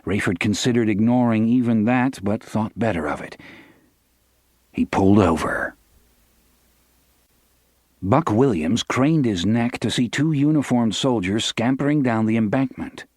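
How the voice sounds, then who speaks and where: A man's voice: fairly low pitch, normal speed, a little mellow, no echo, clean studio sound. A man reading dramatic scripted fiction as an audiobook narrator.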